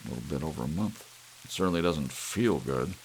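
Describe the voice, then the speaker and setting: An uneasy, stubborn father, played by a male narrator, speaks tersely and defensively. The voice is deep with a gravelly edge. Faint rain noise runs behind this storybook reading. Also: lively intonation, a little fast, heavily acted. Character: uneasy, stubborn father at odds with his household. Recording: audible hiss with rain-like noise.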